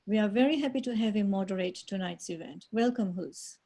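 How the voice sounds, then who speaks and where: A woman's voice: medium pitch, fairly quick, mellow. A woman introducing the moderator on a video call by reading a prepared bio. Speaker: event organizer introducing the moderator.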